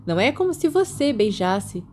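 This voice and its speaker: A mischievous teenage schoolboy, acted out by a woman narrator in an audiobook. He is cocky and teasing, with a breezy, boastful delivery in a mellow voice. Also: medium pitch, very animated, normal speed, slight background noise, lightly acted. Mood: curious, boastful.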